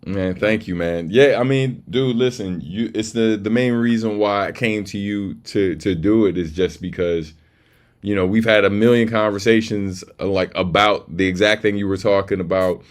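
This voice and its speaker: Male livestream host, deep voice, laid-back street-casual delivery, big on shout-outs. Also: moderate pace.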